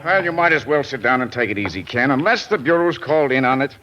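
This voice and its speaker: An actor playing a businesslike, world-weary bureau chief in an old radio drama, measured and hesitant. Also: male voice, mid-range voice, lively intonation, pushed and bright, faint music behind. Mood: resigned. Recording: muffled vintage radio recording.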